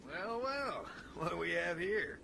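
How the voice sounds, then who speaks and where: An actor playing a brash, wisecracking Jedi trainee with a quick temper in an audio drama. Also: male voice, sits high, sing-song, vocal fry, bright, forceful tone, slight background noise, heavily acted. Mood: wry, wary. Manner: wry, wisecracking.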